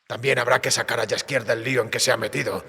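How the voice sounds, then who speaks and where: An actor playing a gruff, bitter, world-weary monster hunter in an audiobook drama, with a dry, terse, serious delivery. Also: male voice, mid-range voice, steady tone, fast, sharp tone, heavily acted. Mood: serious, resolved. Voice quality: gravelly, husky. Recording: cave-like reverb.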